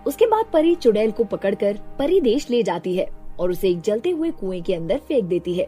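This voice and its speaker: A woman's voice: mid-range voice, big pitch swings, normal speed, mellow, soft background music. A woman reading scripted narration for a cartoon story.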